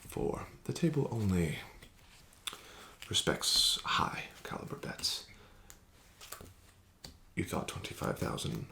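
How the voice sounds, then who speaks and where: A man playing a casino card dealer in an ASMR roleplay, speaking softly with whispered phrases and formal, courteous, gently coaxing patter. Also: sits low, wildly swooping pitch, slow, vocal fry, long pauses.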